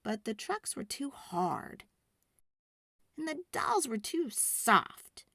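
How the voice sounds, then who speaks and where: A woman reading a children's storybook aloud in a dramatic, expressive and deliberate narration. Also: fairly high pitch, vocal fry, no echo, studio quality.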